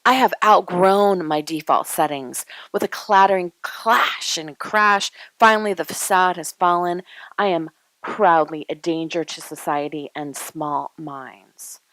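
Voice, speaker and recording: A woman reading poetry aloud in a dramatic spoken-word style, with deliberate, emphatic, declarative phrasing broken by pauses. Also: low voice, steady tone, fairly bright tone, no room sound, low-quality recording. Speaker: poet.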